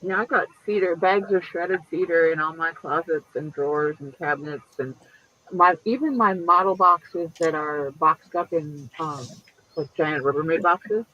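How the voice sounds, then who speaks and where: A man in his late fifties with a high voice chats loosely, swearing freely and leaping up in pitch. The sound is dull and lo-fi, like a home stream. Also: moderate pace. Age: 50s. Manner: chatty and profane, lively pitch jumps. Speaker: host. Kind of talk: casual chat.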